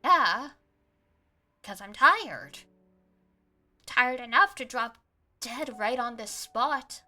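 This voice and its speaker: A woman's voice: on the high side, animated, very slow, pushed and bright, soft background music, full character voice. A woman voicing a tired, dry-humored young male hero of a visual novel as she reads his lines. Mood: weary, grumpy.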